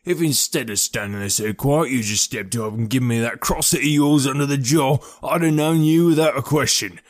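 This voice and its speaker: A man voicing a gruff, stubborn ex-prizefighter porter with blunt, rough working-class speech in an audiobook. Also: mid-range voice, brisk, voice pushed up, close to an everyday voice. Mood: hearty amazement. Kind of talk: audiobook character voice.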